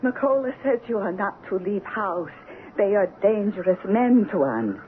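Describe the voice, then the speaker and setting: Radio drama casting: actress as worried worker's wife, formal slow warnings, animated pitch, lo-fi broadcast sound. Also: female voice, lightly acted. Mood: anxious warning. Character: anxious, deferential Congolese wife of outpost worker. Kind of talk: 1970s radio drama acting. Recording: muffled, noisy old radio broadcast.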